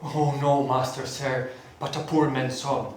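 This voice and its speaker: A woman's voice: extremely low pitch, fairly even intonation, a little slow, a little mellow, a little hiss or hum. A woman voicing a poor, humble old father on stage, speaking deferentially in an echoey hall from far off. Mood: pleading. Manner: pleading, deferential.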